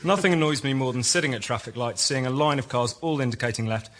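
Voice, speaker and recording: A man's voice: mid-range voice, fast talker, fairly bright tone, slight background noise. Man reading a letter aloud.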